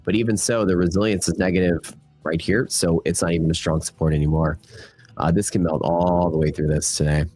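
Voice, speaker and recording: Deep nasal man with a cold. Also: fairly even intonation, brisk, a little mellow, breathy edge. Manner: loose, filler-heavy talk to the chat. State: stuffy from a cold, voice worn. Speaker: futures trading livestream host. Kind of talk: casual live market commentary.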